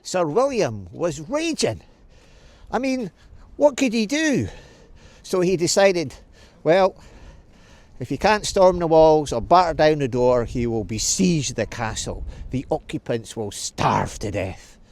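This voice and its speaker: A man's voice: a little high, sing-song, takes it slowly, darkish tone, no echo. A man telling a story for children, stopping to ask and answer questions. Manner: explains as he tells, asks and answers questions.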